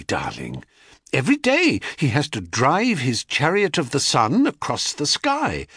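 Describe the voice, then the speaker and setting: A man voicing a gentle, doting mother who soothes her son, soft and a bit flustered. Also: fairly high pitch, slightly rough, no echo, clean studio sound, voice pushed up, exaggerated voice.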